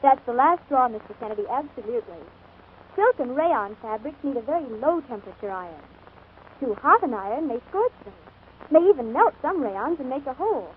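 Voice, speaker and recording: Vintage radio commercial woman, high and chirpy, scripted advice, tinny crackly broadcast. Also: lively intonation, fairly quick. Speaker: advertisement co-presenter. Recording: scratchy, narrow-band 1940s radio broadcast.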